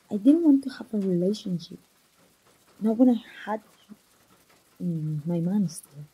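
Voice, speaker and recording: A young woman voicing an engaged woman confiding in an old friend, in a soft, breathy, close ASMR roleplay style. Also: mid-range voice, rough recording. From Mexico.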